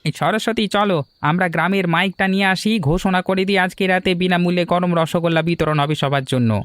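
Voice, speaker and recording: Quick and high, a man voices a village helper in a cartoon. He is eager to pitch in, with music playing softly behind. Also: steady tone, no room sound. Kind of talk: dramatized cartoon dialogue. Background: background music.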